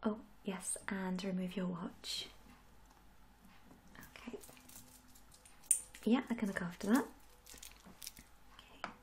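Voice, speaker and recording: A young woman in a soft-spoken ASMR roleplay, gentle and reassuring, with a breathy, often whispery voice. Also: medium pitch, fairly even intonation. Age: young adult. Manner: gentle, unhurried, polite reassurance. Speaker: ASMR roleplayer as a tattoo artist. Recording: audible background noise and hiss.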